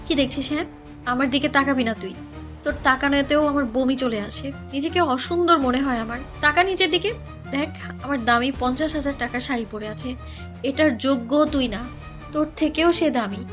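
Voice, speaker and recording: A woman's voice: high voice, normal speed, music in the background, lightly acted. A woman playing an arrogant, hot-tempered young rich bride, scolding with cruel scorn in an audio story drama. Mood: scornful, commanding. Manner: haughty, insulting, scolding.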